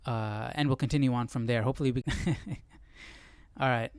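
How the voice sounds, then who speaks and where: A man's voice: medium pitch, fairly even intonation, brisk, clean tone, no room sound. A man hosting a live Bible study, reading aloud and thinking through it in casual talk.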